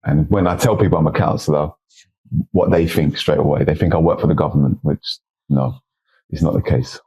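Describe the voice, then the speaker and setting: A man in his forties with an East London accent, a counsellor chatting as a podcast guest in a relaxed, rambling way. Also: rumbling low, a little fast, husky, lo-fi sound. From East London, England. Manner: relaxed, rambling with restarts and frequent agreement. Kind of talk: casual interview chat.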